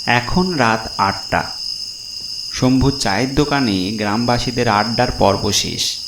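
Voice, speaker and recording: A man's voice: mid-range voice, measured pace, noisy background. A man narrating a scripted horror cartoon story as its storyteller. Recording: roomy echo, clear music behind. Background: background music.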